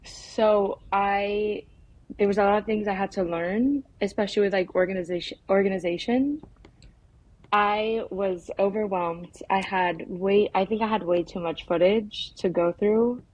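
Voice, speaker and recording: A young woman talks casually as a filmmaker being interviewed. Her delivery is informal and halting, with frequent filler words. Her pitch is average for a woman. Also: fairly even intonation, darkish tone, rough recording. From United States. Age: young adult. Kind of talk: casual conversational interview answers.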